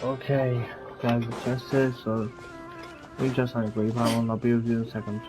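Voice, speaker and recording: A man's voice: medium pitch, flat delivery, moderate pace. Casual male gamer with music behind. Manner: informal, rambling. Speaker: game streamer. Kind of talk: casual gameplay commentary.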